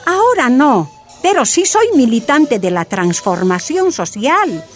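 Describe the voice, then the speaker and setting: High-voiced, deliberate Bolivian woman activist. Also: sing-song. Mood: firm, earnest. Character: frank, determined Bolivian miners' woman leader, a mother. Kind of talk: radio drama acting. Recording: music underneath.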